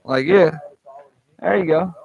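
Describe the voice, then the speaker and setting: Man, gravelly, slightly high voice, folksy rambling livestream chat, outdoors, clip-on mic. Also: slow pace, a little mellow, muffled recording. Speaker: livestream host. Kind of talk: casual live chat. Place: outdoors at a campsite. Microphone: clip-on mic with fuzzy windscreen.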